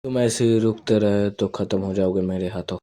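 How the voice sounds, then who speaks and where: Man acting a deep, brooding vengeful ninja rival. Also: steady tone, normal speed, background noise, close to an everyday voice. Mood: cold, defiant. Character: brooding, vengeful young male ninja rival, power-hungry and cold. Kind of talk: amateur anime fan dub acting. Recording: music behind the voice.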